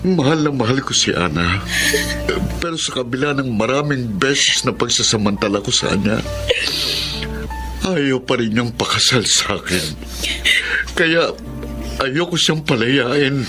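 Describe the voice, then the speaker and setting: An actor playing an obsessed, guilty middle-aged uncle, a villain with a Filipino accent in a radio melodrama. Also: male voice, mid-range voice, lively intonation, measured pace, pauses often, over music, natural voice. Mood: guilty, obsessive. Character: obsessed abductor uncle, remorseful yet unyielding villain.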